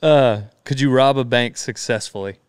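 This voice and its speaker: A young man hosting a podcast, polite and easygoing, answering with "yes, sir" and asking quick questions. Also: mid-range voice, smooth, no room sound, studio quality. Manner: polite, drawling fillers, says "yes, sir". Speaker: podcast host. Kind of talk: casual interview chat.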